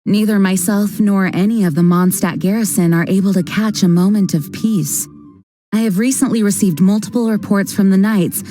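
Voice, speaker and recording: An actress voicing a composed female knight commander who speaks in a measured, formal way. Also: medium pitch, warm tone, close to an everyday voice. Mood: calm. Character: calm dutiful female knight leader, composed. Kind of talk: video game voice acting.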